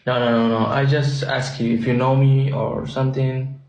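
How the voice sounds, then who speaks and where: A man's voice: medium pitch, level tone, normal speed, a little mellow, echoey room, lo-fi sound. A man flirting on a video chat in simple, broken English.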